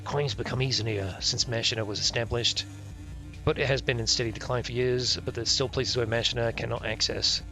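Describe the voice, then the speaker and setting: A man's voice: mid-range voice, steady tone, brisk, sharp tone. A man streaming a visual novel, reading the text aloud with irreverent, offhand asides. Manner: irreverent, ad-libs jokes and mutters reactions. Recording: music playing behind the voice. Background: game music.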